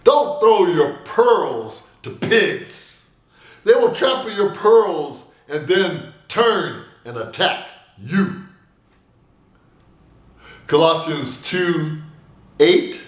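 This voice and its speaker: Lively older man preaching to camera. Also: very slow, pauses often, reverberant. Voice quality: booming voice. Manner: animated storytelling with big gestures. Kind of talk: personal sermon to camera. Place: small room indoors.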